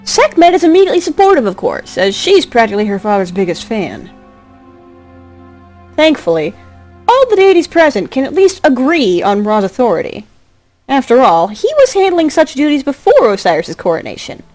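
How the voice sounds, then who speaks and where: A woman narrating a scripted drama as a storyteller, expressive, with a wry touch. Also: sits high, moderate pace. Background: music.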